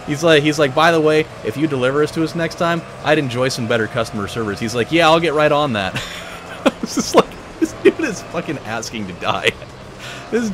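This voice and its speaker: A man hosting a gaming video, telling stories in a casual, animated way with swearing. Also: on the high side, brisk, background noise. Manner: animated storytelling with profanity. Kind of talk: casual chat over a racing game. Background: loud game music and car engines.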